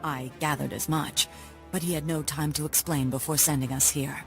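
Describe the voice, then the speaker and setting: A performer voicing a serious Jedi master with a gravelly voice. Speaks in warnings and briefings. Clear game music plays under. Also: mid-range voice, steady tone, normal speed, close to an everyday voice. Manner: serious, cautioning.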